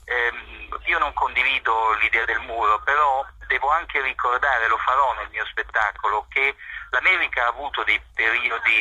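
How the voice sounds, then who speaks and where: A man, a journalist, answering interview questions in a measured way, pausing often with fillers. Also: medium pitch, pushed and bright, breathy edge, dry recording, poor audio. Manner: measured, hesitant with frequent fillers.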